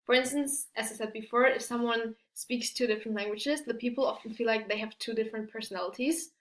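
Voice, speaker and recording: A woman's voice: fairly high pitch, fast, a bit bright. A young woman giving a class presentation in English, hesitant, with many restarts and fillers and non-native phrasing. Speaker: student presenter. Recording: echoey room.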